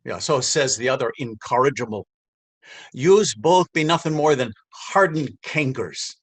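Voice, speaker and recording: A man's voice: medium pitch, expressive, measured pace, husky. An actor playing a bullying, sceptical Dublin policeman in a stage reading, mocking and officious. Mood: scornful. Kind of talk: stage readers theater acting.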